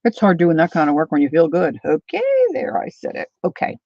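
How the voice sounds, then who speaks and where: An older woman chatting casually to viewers while she crafts, thinking out loud as she works. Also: deep voice, animated, fairly quick, creaky. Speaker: craft livestreamer. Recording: dull lo-fi dry sound.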